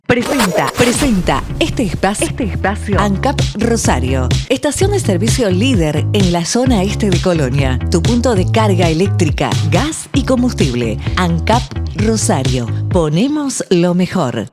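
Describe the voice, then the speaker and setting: A woman reading a scripted sponsor announcement in a lively, promotional tone. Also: mid-range voice, a little slow. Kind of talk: scripted ad read. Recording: music bed behind.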